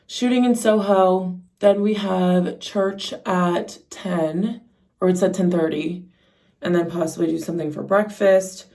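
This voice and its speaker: A young woman with a slightly raspy voice chats casually and informally to camera as a vlogger, peppering her talk with "like". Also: lowish voice, warm tone, far from the mic. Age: young adult. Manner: chatty, informal, frequent "like". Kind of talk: casual chat to camera while getting ready. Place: indoors at home.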